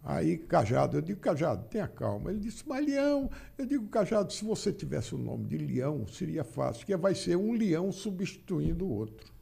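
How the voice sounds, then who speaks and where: Gravelly man in his seventies. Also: on the high side, sing-song, moderate pace, warm tone. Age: 70s. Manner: anecdotal, acts out dialogue, repeats phrases. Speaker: politician guest. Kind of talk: casual interview storytelling. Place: studio.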